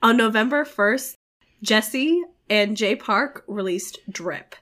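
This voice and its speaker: A woman's voice: fairly high pitch, slow pace, fairly bright tone. A woman co-hosting a podcast in casual chat, her voice nasal and congested from a cold, frank and playful. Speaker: podcast co-host. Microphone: home recording mic.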